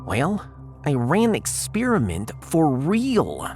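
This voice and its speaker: A man's voice: fairly high pitch, wildly swooping pitch, takes it slowly, a little mellow, soft background music, full character voice. A man voicing a haughty, eccentric Russian woman scientist in a science fiction audiodrama, lecturing and teasing with a Russian accent and dropped articles. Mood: explaining.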